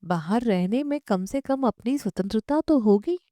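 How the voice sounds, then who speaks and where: A woman's voice: fairly high pitch, lively intonation, normal speed, mellow, no room sound, natural voice. A woman voicing a weary, disillusioned female office worker in an audiobook story, earnest and brooding. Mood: weary, discontented.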